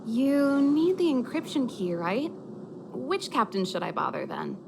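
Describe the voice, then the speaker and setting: Breezy, high-voiced woman mechanic. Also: very animated, unhurried, vocal fry, background noise, exaggerated voice. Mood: breezy, friendly. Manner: breezy, self-assured. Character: confident, easygoing young woman mechanic and rock musician. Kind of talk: video game voice acting.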